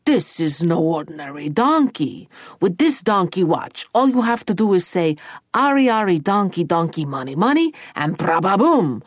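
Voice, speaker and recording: Woman acting a male ogre uncle, gruff, theatrical, storybook, dry sound. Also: lowish voice, sing-song, normal speed, no room sound. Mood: grandly instructing. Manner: theatrical, instructing, peppered with Italian words. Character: gruff, shrewd male Italian ogre uncle, generous boss.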